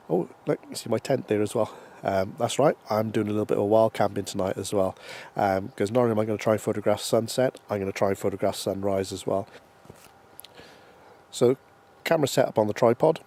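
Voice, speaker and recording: A man's voice: fairly low pitch, a little mellow. Stuffy-nosed man vlogging outdoors. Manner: chatty, many ums and restarts. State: congested from hay fever. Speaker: landscape photographer. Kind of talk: casual outdoor vlog. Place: outdoors in a mountain valley.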